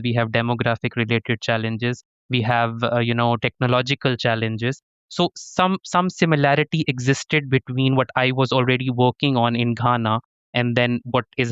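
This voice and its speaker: A man's voice: mid-range voice, fairly bright tone, clean tone, dry recording, muffled recording. A man from Pakistan, a professor and interview guest, giving earnest conversational answers with frequent restarts. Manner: earnest, many restarts and "to be honest".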